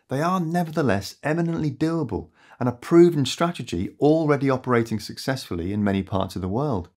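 A man in his fifties, hosting a scripted explainer to camera in a small home studio, measured and earnest. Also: lowish voice, darkish tone. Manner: measured, earnest and articulate, addressing viewers directly. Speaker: host of an educational climate and energy channel. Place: small home studio with shelves and lamps, indoors.

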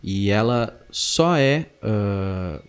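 A man's voice: on the low side, expressive, drawn-out words, clean tone. A young man with a southern Brazilian accent explaining things in casual conversation as a podcast guest, tagging his points with little check-in questions, close on a studio microphone. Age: young adult. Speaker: podcast guest, singing teacher. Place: small indoor podcast studio. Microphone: close podcast microphone on boom arm.

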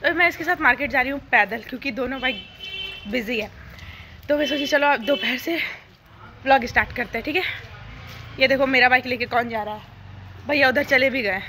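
A young woman with a hoarse voice chatting casually to her phone camera as a vlogger. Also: high voice, brisk, pauses often, bright, forceful tone, slight background noise. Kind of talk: casual vlog chat. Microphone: phone held at arm's length.

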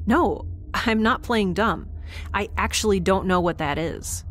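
A woman's voice: medium pitch, measured pace, a little hiss or hum, lightly acted. A woman playing a sarcastic, defiant young spaceship dweller in an audio drama, with a flippant, casual delivery. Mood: puzzled.

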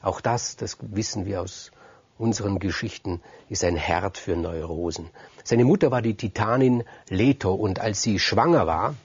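A man's voice: lowish voice, normal speed, breathy edge, dry recording, highs cut off. A man telling myths freely, in a conversational way, repeating words for emphasis and speaking straight to his listeners. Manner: conversational, emphatic repetition, addresses listeners directly. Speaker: storyteller. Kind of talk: free oral retelling of Greek myths.

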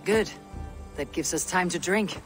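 Bossy viking warrior in a game cutscene, higher voice, stern and blunt, music behind. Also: male voice, a little slow, fairly bright tone. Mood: commanding. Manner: blunt, commanding. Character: stern, commanding young Viking warrior leader. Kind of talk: video game cutscene acting.

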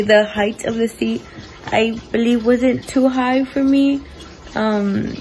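A woman's voice: fairly high pitch, slight background noise, low-quality recording. A young woman vlogging her day from a small bedroom at home, talking casually into a phone held at arm's length. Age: young adult. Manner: chatty, relaxed, lots of likes and ums. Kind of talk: casual day-in-my-life vlog. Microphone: phone camera at arm's length.

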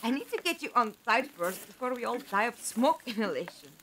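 An actress in an audio drama playing a plucky, excitable female companion, anxious but capable. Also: fairly high pitch, fairly quick, background noise. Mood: excited, busy. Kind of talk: fan audio drama acting.

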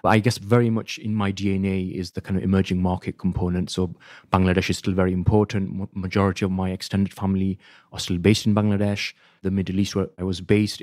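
A UK-raised man, a fund manager, explaining his career in a measured, steady way. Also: low-pitched, dry recording, studio quality. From United Kingdom. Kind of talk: casual interview answer.

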